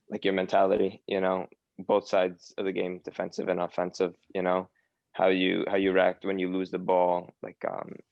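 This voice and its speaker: Young man, Long Island accent, dull dry lo-fi sound, halting casual answers. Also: low-pitched, creaky, darkish tone, no room sound. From New York, USA. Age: young adult. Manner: hesitant, many fillers and restarts. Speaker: guest, academy footballer. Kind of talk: casual interview chat.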